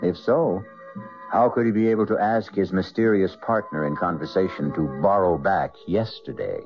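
Low, portentous male host. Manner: measured, portentous. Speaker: radio drama host. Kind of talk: dramatic scripted narration. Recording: muffled vintage radio recording. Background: music.

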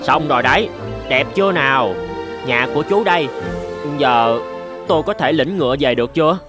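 A man's voice: mid-range voice, expressive, moderate pace, music in the background, lightly acted. A man voicing a naive, good-natured young peasant in a cartoon fairy tale, eager and wide-eyed.

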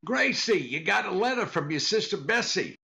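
Gravelly, exasperated old-time comedian husband. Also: male voice, high voice, very animated, normal speed, sharp tone, exaggerated voice. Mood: puzzled. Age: older. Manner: stammering, repeats questions. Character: exasperated, dry comedian husband, a straight man. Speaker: actor in a radio comedy recreation. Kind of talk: 1940s radio comedy double act.

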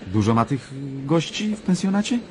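An actor playing a curious young newcomer in a radio drama, asking short inquisitive questions. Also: male voice, on the high side, very animated, moderate pace, a little hiss or hum. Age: young adult. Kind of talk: 1990s Polish radio drama acting. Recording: radio play with background ambience.

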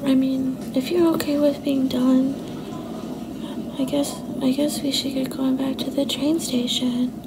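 A sweet young girlfriend voiced by a woman in an intimate ASMR date roleplay, speaking softly in a high voice that drifts into whispers. She is affectionate, coy and a little unsure of herself. Clear ambient noise sits behind her. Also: little pitch movement, measured pace. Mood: caring, reluctant. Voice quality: soft, often slipping into breathy whispers. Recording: noticeable background noise and ambience.

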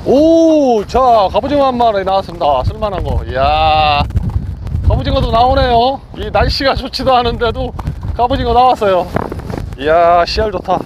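Excitable man narrating fishing on a windy boat. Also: sits high, moderate pace, dull audio. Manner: excited exclamations, chatty play-by-play with laughs. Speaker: fishing vlogger. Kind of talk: casual live commentary while fishing. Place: deck of a boat at sea, outdoors, windy. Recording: wind buffeting the mic outdoors. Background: wind and waves.

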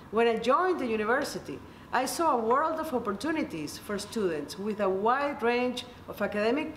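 A woman's voice: fairly high pitch, a bit bright. A middle-aged woman from Venezuela, a native Spanish speaker with a Venezuelan accent, giving a scripted welcome address as an associate dean in an office. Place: indoor office by large windows. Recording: echoey room with slight background noise.

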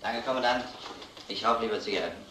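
A man's voice: fairly low pitch, quick pace, pushed and bright. An actor playing a factual, formal police detective reporting to his superior in an old crime drama. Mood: businesslike. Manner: matter-of-fact, formal. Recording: roomy old soundtrack, some noise.

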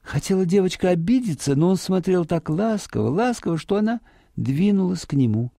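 A man narrating a fairy tale for children in an expressive, dramatic storytelling manner. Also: high-pitched, fairly quick, gravelly, no room sound, clean studio sound.

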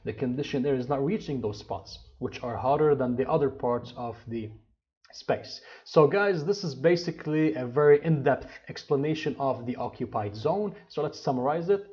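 A man's voice: medium pitch, dark tone, highs cut off. A man in his thirties teaching an engineering course over slides, explaining step by step with restarts and fillers. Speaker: HVAC instructor. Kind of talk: slide lecture.

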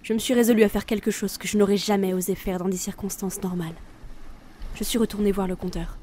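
A woman's voice: medium pitch, fairly even intonation, fast talker, mellow, slight background noise, natural voice. An actress playing a weary, brooding female reaper of souls in an introspective audio drama narration. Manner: solemn, introspective.

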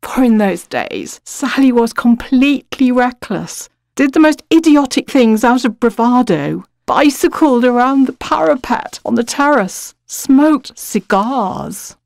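A woman with a British accent giving an expressive, reflective dramatic reading of literary fiction as a narrator. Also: a little high, measured pace, gravelly, hardly any pauses, no echo, clean studio sound.

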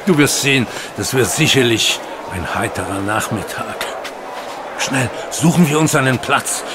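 Actor as eager, animated gentleman. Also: mid-range voice, a little slow, heavy background noise, lightly acted. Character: eager, cheerful gentleman leading his friend to an auction. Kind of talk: German audio drama acting.

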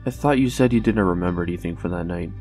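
A man's voice: deep voice, fast talker, dark tone. A young man voicing an anxious, earnest young man in a comic dub, hesitant and doubtful in dramatic dialogue. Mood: guarded. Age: young adult. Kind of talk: dramatic dialogue and inner narration. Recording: music behind the voice.

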